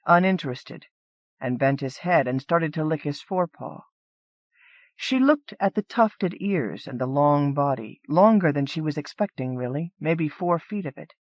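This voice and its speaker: A woman's voice: deep voice, no echo. A woman reading literary fantasy fiction aloud as a scripted audiobook narration. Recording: dull lo-fi cassette audiobook transfer.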